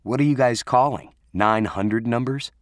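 A man's voice: medium pitch, normal speed, no echo, clean studio sound, voice pushed up, close to an everyday voice. A man voicing a smug teenage boy in an audiobook story, teasing and wisecracking.

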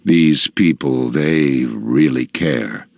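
A man reading an audiobook, voicing a solemn old bearded camp leader with a soft, deep, slow voice. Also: gravelly, no echo, clean studio sound, heavily acted.

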